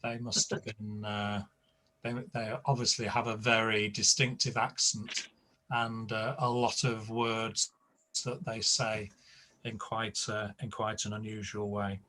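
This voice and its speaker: Slow, hesitant man teaching. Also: on the low side, little pitch movement, bright, forceful tone. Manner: slow, hesitant, filler-heavy. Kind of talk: online class.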